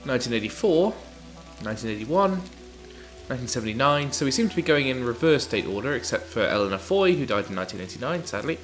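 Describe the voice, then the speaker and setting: A man's voice: mid-range voice, big pitch swings, fast talker. Stuffy-nosed man with wry game commentary. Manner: wry, chatty. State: stuffy-nosed from hay fever. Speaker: let's play host. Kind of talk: casual gameplay commentary. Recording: game music faintly behind.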